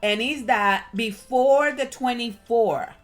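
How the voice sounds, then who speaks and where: Animated Puerto Rican woman telling stories. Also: a little high, takes it slowly, soft background music. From Puerto Rico. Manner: animated storytelling, many fillers. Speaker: guest. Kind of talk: casual chat.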